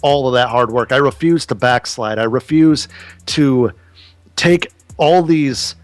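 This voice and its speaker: A man's voice: medium pitch, unhurried, no room sound. A man in his thirties, a podcast guest, talking earnestly and casually about business, swearing now and then. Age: 30s.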